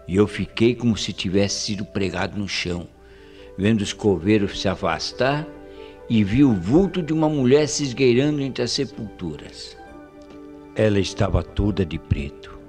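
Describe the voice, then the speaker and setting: An actor playing an old country colonel with a Brazilian accent, spinning an eerie tale with folksy suspense in a radio mystery drama. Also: male voice, on the low side, animated, moderate pace, a little mellow, soft background music, natural voice. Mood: confiding, eerie. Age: older.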